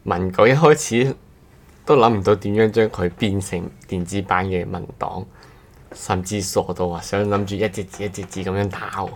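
A man's voice: low voice, moderate pace. A man with a Cantonese accent chatting casually as a podcast guest, joking and poking fun at himself. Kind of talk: casual chat.

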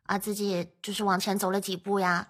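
A woman's voice: medium pitch, level tone, brisk. A woman hosting a podcast in a casual, conversational style. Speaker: podcast host.

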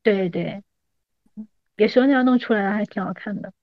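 A woman's voice: medium pitch, moderate pace, no room sound. Female host in her thirties, slightly muffled sound. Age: 30s. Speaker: podcast host. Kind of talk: casual chat.

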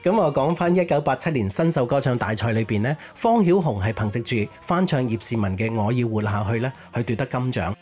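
A man's voice: medium pitch, darkish tone. A man chattily co-hosting a music nostalgia show, sharing conversational commentary. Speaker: co-host of a Cantopop nostalgia show.

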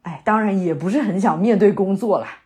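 A woman's voice: medium pitch, a little fast, darkish tone, lightly acted. A woman acting a young, sentimental, theatre-loving new graduate in a naturalistic audio drama, speaking in a hesitant, conversational way. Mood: wistful. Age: young adult. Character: young woman fresh out of university, wandering, sentimental theatre lover.

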